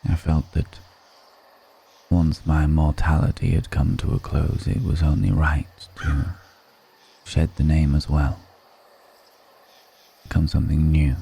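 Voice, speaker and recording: Man playing ancient male vampire, ASMR roleplay, dark mellow tone, gravelly fry, refined, wistful, formal phrasing. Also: rumbling low, level tone, exaggerated voice. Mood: reflective, grave. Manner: slow, measured, pausing between phrases, formal wording. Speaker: voice actor in an audio roleplay. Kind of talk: slow intimate ASMR roleplay acting.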